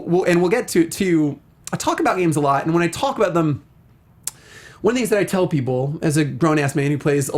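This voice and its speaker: A high-voiced American man co-hosts a casual talk show. He speaks effusively and enthusiastically, in long, winding sentences that he often breaks off and restarts. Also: a little mellow. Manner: rambling, self-interrupting, effusive. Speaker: podcast co-host.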